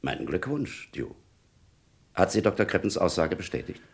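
A senior police chief in a vintage radio mystery. The voice is extremely deep and rough with creak. He talks in a deliberate, formal, commanding way. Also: sing-song, heavily acted. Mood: grave, commanding. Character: authoritative, measured senior police chief, a superior officer. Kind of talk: German radio crime play acting of the 1950s-60s.

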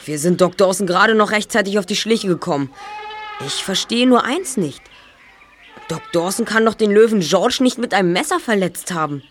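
A woman voicing a clever teenage boy detective in a radio play, calm and explaining. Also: medium pitch, moderate pace, slight background noise, lightly acted. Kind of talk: amateur radio play acting.

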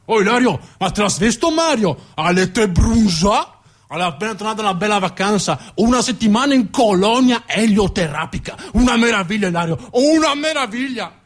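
A man's voice: high-pitched, lively intonation, normal speed. A man playing a chatty, boastful working-class villager with a northern Italian accent, speaking exclamatory dialect in a stage monologue. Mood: enthusiastic, teasing. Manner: dialect-laced, exclamatory, drawling emphasis.

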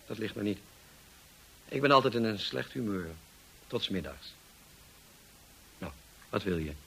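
An actor playing a brusque, teasing, moody gentleman in a Dutch radio drama, speaking in a dry, commanding way. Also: lowish voice, animated, unhurried, creaky, breathy edge, exaggerated voice, rough recording. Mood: brusque, insistent. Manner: dry, commanding, clipped questioning.

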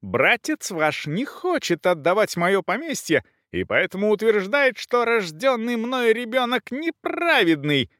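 Audiobook role: male reader as irate young noblewoman, high-pitched, haughty, emphatic and scandal-making. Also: very animated, a little slow, few pauses, no room sound, clean studio sound, full character voice. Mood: furious shouting. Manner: indignant, shouting, emphatic. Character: young furious countess, haughty, shrill-tempered, scandal-making.

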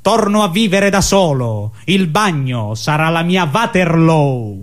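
An actor playing a lonely, muddled husband in a halting, plaintive monologue to his silent wife, in absurdist theatre style. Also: a little high, lively intonation, background noise. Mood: forlorn.

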